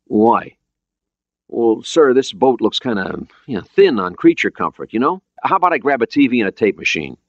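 A male narrator acts an eager American navy sailor in a thriller audiobook. He is informal and hesitant, with a raised, lively pitch and a dry sound. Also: moderate pace, dry recording, muffled recording, close to an everyday voice. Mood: eager, casual. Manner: casual, hesitant, wordy with superiors.

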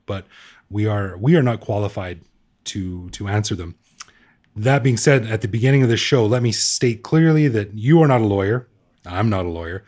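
A man's voice: on the low side, darkish tone. A man with a North American accent hosting a podcast, casual and joking, laughing often. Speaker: podcast host. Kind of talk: casual podcast chat and interview.

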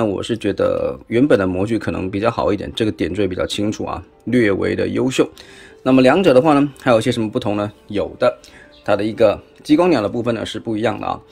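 Low, gravelly Taiwanese man. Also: a little mellow. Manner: chatty, punctuated with particles and rhetorical questions. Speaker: toy unboxing reviewer. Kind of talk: casual hands-on product review commentary. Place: indoors at a tabletop.

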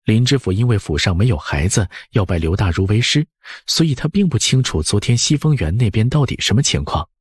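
A man narrates an audiobook story expressively. His voice is low and husky with lively swings in pitch. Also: fairly quick, no echo.

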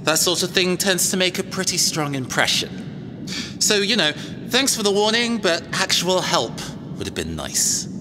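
A man's voice: sits high, big pitch swings, moderate pace, in a large hall, over music. A man playing a cagey, self-assured robot engineer mercenary in an audio drama, wry, conversational and hesitant. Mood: wry, indignant.

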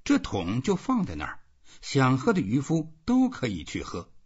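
A man narrating a literary audiobook in an expressive reading style. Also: mid-range voice, moderate pace, slightly rough. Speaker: audiobook reader. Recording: dull, muffled lo-fi audio.

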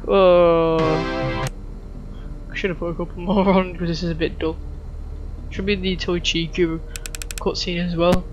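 A man with a high-pitched voice chats casually through a video game. He rambles and thinks aloud, with loud music playing behind him. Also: flat delivery, a little slow, pauses often, dull audio. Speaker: gaming video host. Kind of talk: casual gameplay commentary.

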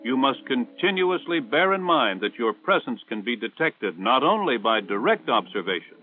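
An American man reading scripted instructional narration for a 1960s military training film in a measured, authoritative way. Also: mid-range voice, sing-song, faint music behind. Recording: tinny band-limited 1960s film soundtrack.

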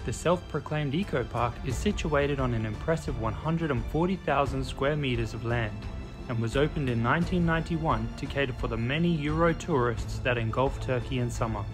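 A man's voice: medium pitch, moderate pace. A man reading a scripted voice-over for an exploration vlog, with a wry, storytelling manner. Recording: voice-over with music behind.

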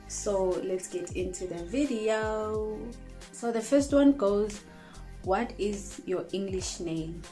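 A woman's voice: medium pitch, slow pace, warm tone, soft background music. A young woman in her twenties from South Africa, chatting casually and rambling into her phone camera in a small room. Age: 20s. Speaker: vlogger. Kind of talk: casual get-to-know-me chat. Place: small indoor room, in front of cupboards. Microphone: phone camera at close range.

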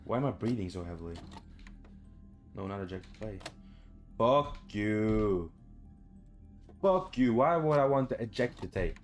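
Low-voiced, animated young man gaming. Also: unhurried, long pauses, soft background music. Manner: sweary, talking to himself, reactive. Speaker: gaming YouTuber. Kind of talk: casual horror game let's play commentary. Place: home room, indoors.

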